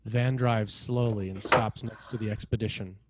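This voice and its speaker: A man narrating screenplay action and scene headings in a measured, dramatic way, in short phrases. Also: fairly low pitch, steady tone, very noisy.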